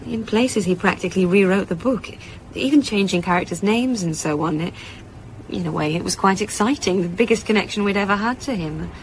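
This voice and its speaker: Actress as female publishing editor, mid-pitched, informal, blunt, radio drama, slight ambience. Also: a little fast, a little hiss or hum, close to an everyday voice, rough recording. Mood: candid, intrigued. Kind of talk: naturalistic radio drama acting.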